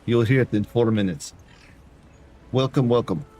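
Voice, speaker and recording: A man's voice: medium pitch, moderate pace, mellow. A Canadian man streaming a game, chatting to his viewers in a put-on foreign accent that drops articles. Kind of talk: casual gameplay chat with viewers.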